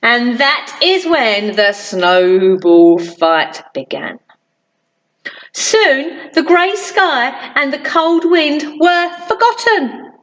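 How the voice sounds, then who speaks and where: A woman reading a children's story aloud in an expressive, deliberate way for young listeners. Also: sits high, highs cut off. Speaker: primary school storytime reader.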